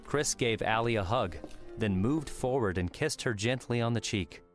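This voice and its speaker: A man's voice: medium pitch, moderate pace. A man reading scripted audiobook narration as the narrator of a novel. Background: soft music.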